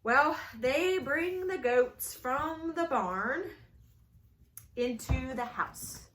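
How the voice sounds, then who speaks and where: A woman telling a folktale at a children's storytime, playful and animated, inviting the kids to join in. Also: high voice, very slow. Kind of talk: storytime read-aloud for kids.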